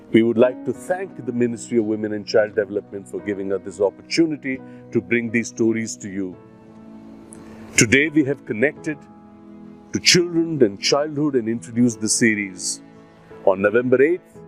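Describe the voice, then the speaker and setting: Indian-accented man presenting, deliberate and emphatic. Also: mid-range voice. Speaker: series host and presenter. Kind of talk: scripted presentation to an audience. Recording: soft music behind. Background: soft plucked guitar music.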